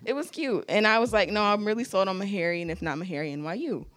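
A young woman in her twenties from Michigan, a podcast guest telling her story in a lively, casual way with frequent laughs and a light, youthful voice. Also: mid-range voice, fast, no echo, studio quality.

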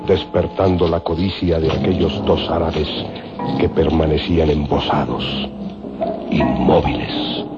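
A man narrating a radio serial dramatically, with solemn, measured phrasing. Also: very deep voice, steady tone. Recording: dull old radio recording under loud music.

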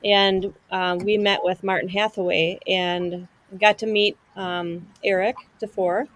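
A woman's voice: mid-range voice, flat delivery, unhurried, fairly bright tone. A woman hosting a casual live-stream talk show, chatty and friendly as she introduces and questions her guest. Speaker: show host.

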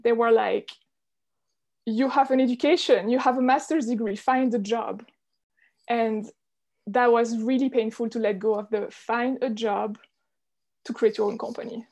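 A woman's voice: on the high side, a little mellow. A woman from Switzerland speaking as an interview guest, telling her own story in a candid, reflective way. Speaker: podcast guest, entrepreneur. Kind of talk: conversational interview.

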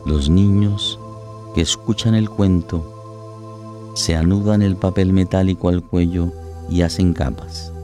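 A man's voice: low-pitched, fairly even intonation, slow, warm tone, soft background music. A man delivering dramatic scripted narration for a radio play.

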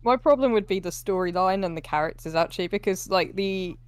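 A woman's voice: mid-range voice, brisk, clear, resonant tone. A young woman co-hosting a podcast, giving her opinions in informal, conversational film chat. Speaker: podcast co-host.

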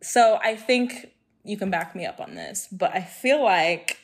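A young woman from Hong Kong talking in a casual group chat, outspoken and animated, laughing often. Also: mid-range voice, unhurried.

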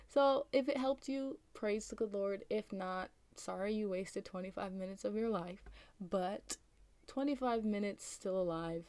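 A young American woman rambles through her solo podcast in a mellow, warm tone that sounds a little raspy from just waking up. Her voice sits at a middle pitch and is recorded dry and close, with no room around it. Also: no echo. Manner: rambling, chatty, frequent ums and likes. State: slightly raspy morning voice. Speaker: podcast host. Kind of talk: casual solo podcast chat.

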